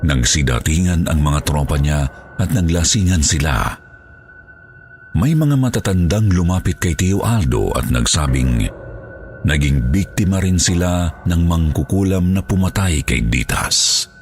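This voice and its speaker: A man's voice: low voice, expressive, unhurried, a little mellow. A man with a Filipino accent reading a scripted horror story as narrator. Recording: background music under the voice.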